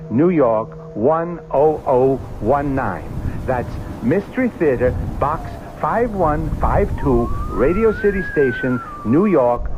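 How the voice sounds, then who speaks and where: A male radio producer reads an announcement to listeners with lively intonation. The vintage broadcast sound is slightly muffled, with music behind. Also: medium pitch. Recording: dull old radio recording, music behind.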